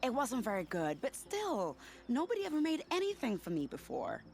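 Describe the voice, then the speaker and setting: A woman's voice: a little high, wildly swooping pitch, normal speed, a little mellow, slight background noise. An actress playing a confident, scheming spy in a video game, teasing and theatrical in her delivery. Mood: earnest, persuasive. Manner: teasing, silky, dramatic. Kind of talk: video game cutscene dialogue acting.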